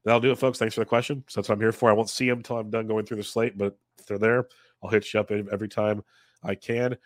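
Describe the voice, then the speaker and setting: A congested man talks through daily fantasy picks in a low, flat voice. His delivery is loose and chatty, full of "uh" and "um." The sound is dry and close, with no room around it. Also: quick pace, no room sound. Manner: conversational, answers live chat, many fillers. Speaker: fantasy sports analyst, show host. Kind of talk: casual solo sports betting preview.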